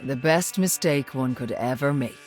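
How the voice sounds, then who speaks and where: A woman playing a cold, arrogant Irish geneticist villain in a video game, speaking in a measured, haughty manner. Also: very deep voice, a little mellow, soft background music. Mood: cool disdain. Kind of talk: video game character voice acting.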